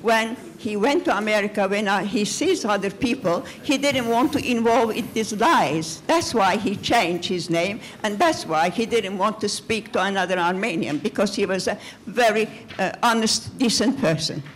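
An older woman with a Turkish accent, speaking haltingly from the audience of a lecture theatre into a handheld microphone. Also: medium pitch. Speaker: audience member asking at conference. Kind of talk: halting spontaneous speech to a panel. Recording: clearly noisy lecture hall.

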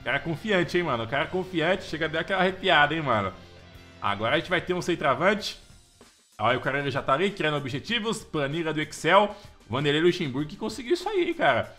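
Excitable, bright-voiced Brazilian man gaming. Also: on the high side, fast talker, soft background music. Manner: slangy, chatty, keeps saying "mano" and "velho"; gets loud and excited over the crowd. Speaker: gameplay commentator. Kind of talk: casual let's-play commentary.

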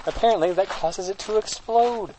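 A man playing a nonchalant, deadpan techno-mage engineer in a comic audio drama. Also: high-pitched, normal speed, a little hiss or hum, close to an everyday voice, poor audio. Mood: dry, condescending.

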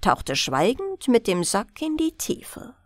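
Female audiobook narrator for bedtime fairy tales: slightly high voice, very lively intonation, unhurried. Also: no echo, clean studio sound. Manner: expressive, unhurried storytelling. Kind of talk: bedtime fairy tale reading.

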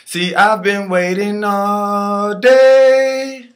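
A man's voice: high voice, flat delivery, drawn-out words. A young adult man casually singing in an amateur way and bantering between takes.

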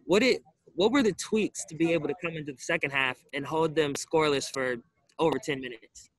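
A man's voice: a little high, fairly quick, no echo, rough recording. A young man working as a sports reporter, asking wordy, conversational questions at a press conference. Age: young adult. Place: indoor arena stands.

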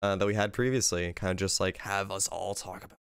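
A man's voice: low-pitched, fast, gravelly, a bit bright, clear, resonant tone, no echo. A man of twenty-three chatting casually as a podcast co-host, close on the mic. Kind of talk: casual chat. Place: room at home, indoors. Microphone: close desk mic on boom arm.

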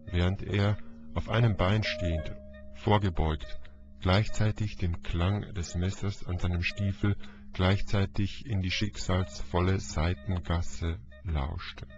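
Male audiobook narrator, very unhurried dramatic delivery, slightly dull lo-fi recording. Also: deep voice, fairly even intonation, a little mellow. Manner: deliberate, declamatory. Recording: dull lo-fi audio, music behind. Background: music.

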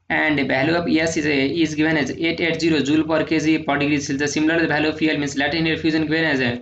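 A man working through engineering numericals in a steady, methodical lecture, often adding "uh" and "sir". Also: a little high. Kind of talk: solving numericals on handwritten notes. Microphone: voice-over on screen recording. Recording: echoey room, dull lo-fi sound, faint noise.

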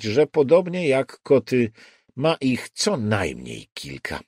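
A man's voice: mid-range voice, a little mellow, no echo, clean studio sound. A man reading a crime novel as an audiobook narrator, in scripted narration.

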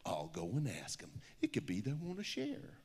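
A man's voice: lowish voice, huge pitch swings, normal speed, a little mellow, husky, full character voice. A man voicing a friendly, polite, puzzled bear in a rhyming children's storybook, exclaiming in singsong, in an echoey hall. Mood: curious. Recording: echoey hall with long reverb.

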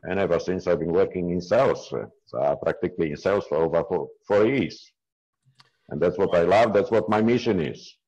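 A man in his fifties from Poland, speaking English with a Polish accent as an interview guest on a video call, with halting, non-native phrasing and frequent restarts. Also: sits low, darkish tone, breathy edge, dull audio. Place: small indoor room at home. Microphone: earbud headset on a video call.

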